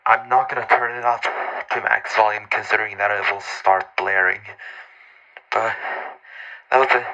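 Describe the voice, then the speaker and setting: A man talking loudly through a megaphone indoors, as a host giving a demonstration. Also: fairly low pitch, steady tone, normal speed. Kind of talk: loud announcement-like demonstration. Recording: dull, harsh megaphone amplification.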